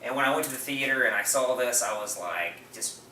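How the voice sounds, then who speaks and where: A man's voice: medium pitch, a little fast, sharp tone. A man co-hosting a casual film discussion, talking in an effusive, emphatic way. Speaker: podcast co-host. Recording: roomy, far from mic, faint noise.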